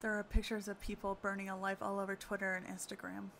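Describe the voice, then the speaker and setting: A woman's voice: mid-range voice, monotone, fast talker, gravelly, fairly bright tone, slight background noise. A woman playing a blunt, foul-mouthed friend in a horror comic dub, speaking with urgency. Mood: alarmed, shaken. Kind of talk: amateur comic dub acting.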